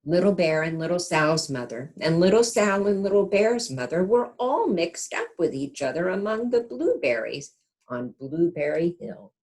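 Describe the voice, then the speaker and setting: A woman reading a storybook aloud to young children in an expressive teacher's manner, pausing to check in with the listeners. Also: fairly low pitch.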